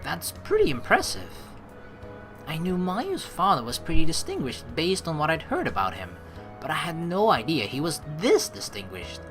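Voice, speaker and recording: A woman's voice: low-pitched, huge pitch swings, normal speed. A woman hosting a casual let's play, playfully reading game narration and rambling through her own commentary. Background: game background music.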